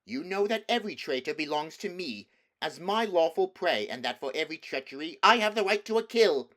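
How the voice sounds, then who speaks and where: A man voicing an imperious, evil witch queen in a storybook, shrill and declaiming. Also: animated, a little fast, fairly bright tone. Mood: shrill outrage.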